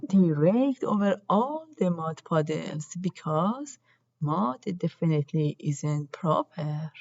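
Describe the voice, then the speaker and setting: Slow-paced woman reading a children's story. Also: sits low, animated, a little mellow, highs cut off. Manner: deliberate, acts out characters' lines. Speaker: storybook reader. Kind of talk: expressive children's read-aloud narration.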